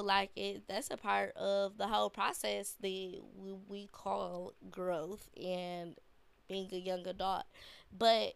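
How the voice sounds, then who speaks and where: A woman's voice: mid-range voice, creaky, fairly bright tone, clean tone, no echo. Young woman podcast host, stuffy nose, slangy and laid-back, real dry close mic. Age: young adult. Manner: slow, laid-back slangy talk with fillers. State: congested from a sinus infection. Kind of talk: casual solo podcast talk.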